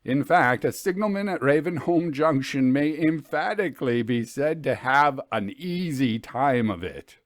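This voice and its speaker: Man playing a genial old-fashioned gentleman. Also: mid-range voice, expressive, unhurried, hardly any pauses, no room sound, voice pushed up, lightly acted. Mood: grave, explanatory. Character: genial gentleman, keen and confiding, telling a grave tale.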